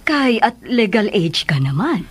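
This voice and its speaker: A woman acting a middle-aged, motherly confidante who gives calm advice, in a Filipino radio drama with a Filipino accent. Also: medium pitch, a little slow, slightly rough. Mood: advising. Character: motherly older confidante, called Ma'am, advising calmly.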